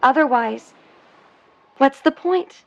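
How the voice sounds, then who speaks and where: High-voiced, dreamy young mermaid woman. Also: takes it slowly, creaky, lo-fi sound, full character voice. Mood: earnest, pleading. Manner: earnest, lyrical, wistful phrasing. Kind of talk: 1950s period audio drama acting.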